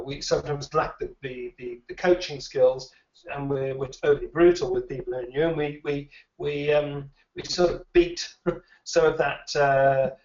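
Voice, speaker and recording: A man who trains recruiters answers interview questions in a thoughtful way, often repeating words as he thinks. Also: medium pitch. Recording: dull, roomy, a little distant.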